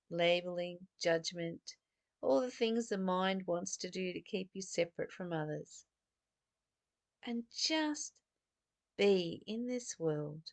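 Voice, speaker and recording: Slow, creaky-voiced woman giving spiritual guidance. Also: mid-range voice, no room sound. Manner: deliberate short phrases with emphatic stresses. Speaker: spiritual teacher. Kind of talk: spiritual teaching talk, intimate and meditative.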